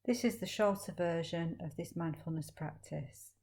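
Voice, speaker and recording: A woman leading a guided meditation as a teacher, speaking slowly and gently with long, soothing pauses. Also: lowish voice, breathy edge.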